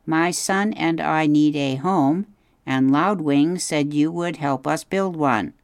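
A reader voicing a polite female robot who has adopted a gosling, speaking in a measured, formal way without contractions. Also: medium pitch, smooth, dry recording, studio quality, voice pushed up. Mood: calm.

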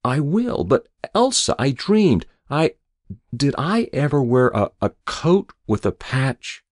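A man voicing a sick middle-aged husband waking groggily, halting, confused and wistful, in a narrated story. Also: mid-range voice, sing-song, no room sound, studio quality, pitched above the performer's own voice.

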